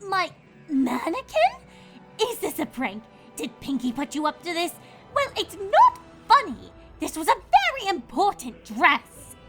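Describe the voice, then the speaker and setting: A woman's voice: extremely high pitch, wildly swooping pitch, a little slow, sharp tone, pitched above the performer's own voice, exaggerated voice. Screechy, dramatic lady cartoon pony. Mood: indignant. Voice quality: screechy when upset. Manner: melodramatic, prim, exclamatory. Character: dramatic, indignant, ladylike female cartoon pony. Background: music.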